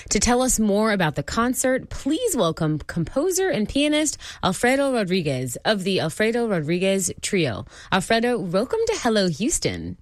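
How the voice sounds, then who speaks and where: A woman's voice: mid-range voice, wildly swooping pitch, moderate pace, hardly any pauses, no room sound. A woman guest-hosting a radio talk show, giving a polished scripted introduction. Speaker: guest host. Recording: dry studio sound.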